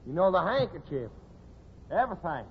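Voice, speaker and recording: An actor playing a Texan cowhand who halts through Othello as a menacing amateur, in a Texas accent and old radio style. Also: male voice, high voice, sing-song. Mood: gruff, accusing. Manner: halting, stumbling over half-remembered lines. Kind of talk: 1950s radio acting of amateur stage melodrama. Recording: dull, noisy old radio recording.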